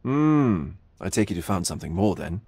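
An actor playing a languid, flamboyant art lover in a video game, speaking with arch theatrical drawl. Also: male voice, low voice, sing-song, normal speed, dark tone, breathy edge, dry recording, full character voice. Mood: bored politeness. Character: droll, languid, flamboyant male art aesthete. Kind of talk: video game cutscene acting.

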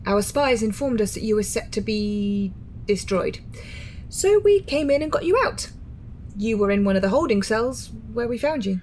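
A woman's voice: a little high, lively intonation, moderate pace, a little mellow, a little hiss or hum, natural voice, poor audio. A woman playing a composed resistance medic in a radio drama, explaining things in a blunt, matter-of-fact way. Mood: brisk, matter-of-fact.

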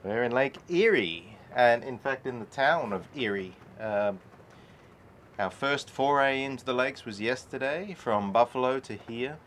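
A middle-aged man rambles through a casual vlog aboard his sailboat, breaking into exclamations as he goes. His pitch sits in an ordinary middle range, and a faint hiss runs under the camera-mic recording. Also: lively intonation, a little slow, fairly bright tone. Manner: chatty, rambling, exclaims often. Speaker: sailing vlogger. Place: on a sailboat at night, then in the cabin.

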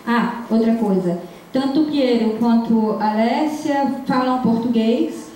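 A middle-aged woman moderates an academic event in an informal way. Her voice is fairly high and soft-toned. It rings heavily around the room, picked up from a distance. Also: measured pace. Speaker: event moderator introducing speakers. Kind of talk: informal spoken introduction at a conference. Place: large dim seminar room. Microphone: handheld microphone into room speakers, recorded by distant camera. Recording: echoey room, distant mic, background noise.

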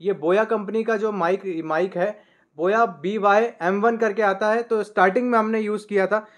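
A young man in his twenties gives a casual review of his vlogging gear, talking to the camera from a desk in a small room through a podcast mic on a boom arm and filling his pauses with "uh". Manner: relaxed, many "uh" fillers, shows each item.

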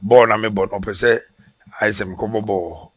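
A middle-aged Ghanaian man with a Ghanaian accent, a former footballer telling his story in a relaxed way, with restarts, in a casual outdoor interview on a headset mic. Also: fairly low pitch, a little fast, no echo. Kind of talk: casual interview in Twi mixed with English. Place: outdoor porch by brick wall.

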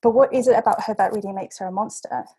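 Young woman seminar speaker on a webcam call, reading her paper at a measured pace with ums and restarts; warm, slightly husky voice. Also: mid-range voice. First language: English. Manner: measured, with frequent ums and self-corrections.

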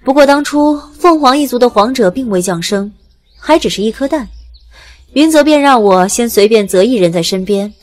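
A commanding ancient goddess, voiced by a woman with a fairly high voice. She speaks unhurriedly and with indulgent authority, in the manner of a fantasy audio drama. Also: expressive, moderate pace, a little mellow, close to an everyday voice. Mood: calm explaining.

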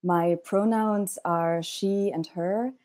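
A woman's voice: mid-range voice, steady tone, slow pace, darkish tone. A woman with a German accent moderating a panel over a video call, welcoming and with frequent ums, speaking into an earphone mic from a room at home.

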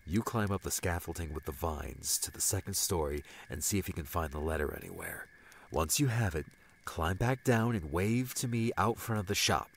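A man's voice: sits low, animated, moderate pace, vocal fry, a little hiss or hum. A man voicing an impatient, bossy, scheming male adventurer in an audiobook.

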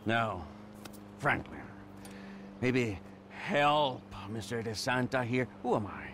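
Menacing gravelly middle-aged crime boss. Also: male voice, mid-range voice, lively intonation, noisy background. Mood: coldly condescending. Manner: deliberate, mocking politeness. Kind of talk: video game cutscene acting.

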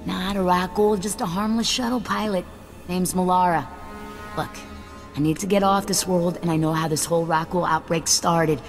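A woman's voice: on the low side, fairly even intonation, moderate pace, close to an everyday voice. A woman playing a nervy, streetwise shuttle pilot in a video game, talking casually with stammers and looking out for herself. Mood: guarded bargaining. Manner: casual, stammering, self-protective. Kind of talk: video game cutscene dialogue. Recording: long hall reverb, faint game music.

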